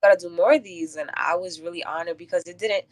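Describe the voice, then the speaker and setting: A woman's voice: on the low side, fairly even intonation, quick pace. A woman talking earnestly and reflectively as a guest in a casual conversational interview. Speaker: interview guest, event curator and poet.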